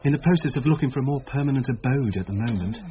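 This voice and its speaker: An actor playing a polite, diffident new schoolmaster with a British accent, in a conversational radio drama scene. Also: male voice, medium pitch, very fast, lightly acted. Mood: polite, curious. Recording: dull radio recording, background noise.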